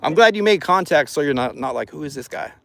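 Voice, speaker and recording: A man's voice: on the high side, animated, fast, a bit bright. Laid-back man vlogging outdoors. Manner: laid-back, reassuring, calls people bro and man. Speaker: vlogger streaming live. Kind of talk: casual street chat. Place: outdoors by a river walk path.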